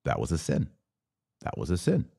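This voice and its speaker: A man with a low-pitched voice speaks as a podcast host in a dry, close recording. He delivers opinion commentary as a monologue to his viewers. His manner is didactic and emphatic, punctuated with rhetorical check-ins. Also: wildly swooping pitch, moderate pace, gravelly, mellow, husky, no room sound.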